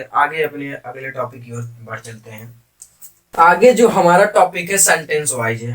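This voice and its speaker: A male grammar teacher with a fairly high-pitched voice. He explains step by step, repeating key terms and asking the class questions as he goes. The microphone sits some distance away from him. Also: a bit bright. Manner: repetitive, question-and-answer teaching, addressing students. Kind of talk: classroom-style grammar lesson in Hindi with English terms.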